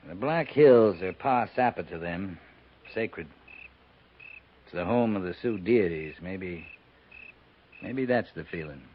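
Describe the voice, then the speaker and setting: A man playing a steady, weary cavalry captain in a 1950s radio western, speaking in a measured, dry, understated way. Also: sits low. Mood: reflective. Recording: dull, noisy 1950s radio recording.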